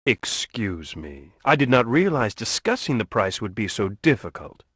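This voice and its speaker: Casting: earnest, courteous young game adventurer, questioning, animated delivery, close dry recording. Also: male voice, fairly low pitch, normal speed, slightly rough, dry recording, exaggerated voice. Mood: puzzled. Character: earnest young male adventurer seeking a cure for his curse. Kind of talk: video game cutscene acting.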